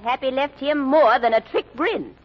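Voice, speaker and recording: A woman's voice: high-pitched, moderate pace. An actress playing a cynical old widowed mother in an American old-time radio mystery, speaking in a blunt, sardonic, folksy way. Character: sharp-tongued, cynical old widowed mother of a publishing family. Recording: dull vintage radio recording.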